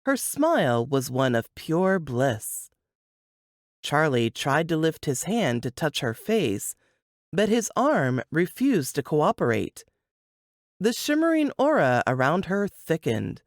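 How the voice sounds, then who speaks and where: Female audiobook narrator, unhurried and animated, scripted fiction read in a dry space. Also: sits low, no echo.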